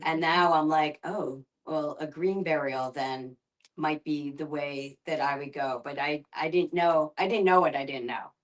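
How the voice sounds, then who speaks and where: A woman's voice: low voice, steady tone, a little slow, poor audio. A woman facilitating a casual group discussion, thinking aloud in a hesitant way.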